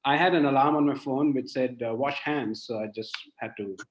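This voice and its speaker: A man hosting a live online interview, asking questions in a relaxed, light-hearted way over a video call. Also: medium pitch, a little fast. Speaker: host. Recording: roomy, dull lo-fi call audio.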